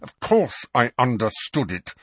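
A man voicing a stern, crisp older female professor who speaks curtly and haughtily. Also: on the high side, sing-song, normal speed, a bit bright, dry recording, studio quality, voice pushed up, exaggerated voice. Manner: clipped, curt, haughty.

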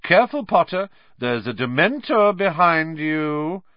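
A cold, snobbish schoolboy drawls his words in a sneering, sarcastic tone, as a man puts him on. His voice sits above the performer's natural pitch. The recording is dull and dry. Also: sing-song, a little slow, dry recording.

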